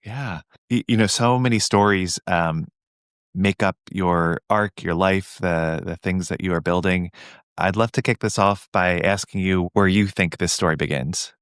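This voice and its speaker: A man hosting a podcast, who is also a surgeon, reading a measured scripted introduction and then chatting with his guest. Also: low voice, a bit bright, smooth, no echo, studio quality. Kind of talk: scripted intro narration, then interview chat.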